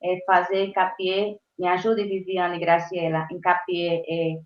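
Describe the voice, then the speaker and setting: A woman from Brazil, a university professor, giving a webinar talk over a video call. She speaks haltingly and stops often to search for words. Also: on the low side, level tone. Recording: roomy, dull video-call audio.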